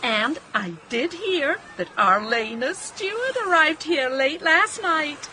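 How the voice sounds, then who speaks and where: A high, bright voice playing a middle-aged American wife in a radio drama. She swoops up and down as she gushes and chatters, bossily fishing for agreement. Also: animated, takes it slowly, a little hiss or hum, full character voice, rough recording. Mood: gushing. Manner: gushing, garrulous, seeks constant agreement.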